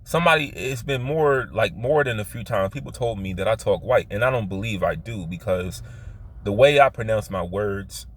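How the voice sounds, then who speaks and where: A man with a Philadelphia accent telling personal stories casually, halting and often circling back to repeat himself. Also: on the low side. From Philadelphia, United States. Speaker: vlogger telling his life story. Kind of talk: casual rambling personal storytelling.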